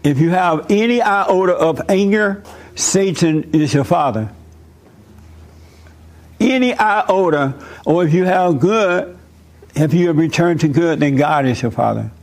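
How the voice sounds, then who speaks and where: A man's voice: fairly high pitch, animated, a little slow. A man preaching and chatting with visitors, emphatic and repeating his phrases.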